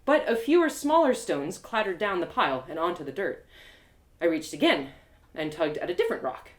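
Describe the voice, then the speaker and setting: A woman giving a theatrical, expressive dramatic reading of a story as its narrator. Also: on the low side, brisk. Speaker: author performing her audio drama. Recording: roomy, echoey sound.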